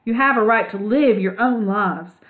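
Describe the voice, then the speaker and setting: A woman's voice: medium pitch, moderate pace, lightly acted. A woman voicing an eager young male mouse who talks boldly and pushily in slang. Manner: earnest, slangy, pushy. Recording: tinny phone-like sound.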